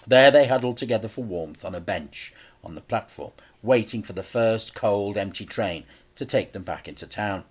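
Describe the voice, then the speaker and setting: A man reading a children's story aloud in a deliberate, dramatic way with suspenseful pauses. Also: fairly low pitch, breathy edge.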